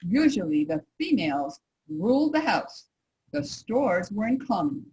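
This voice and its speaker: A woman's voice: mid-range voice, very animated, unhurried, darkish tone, rough recording. A woman playing a resolute nineteenth-century suffragist in a staged reading, emphatic and articulate. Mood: resolute, expressive. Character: discontented, resolute 19th-century suffragist wife. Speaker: reader in a reader's theater.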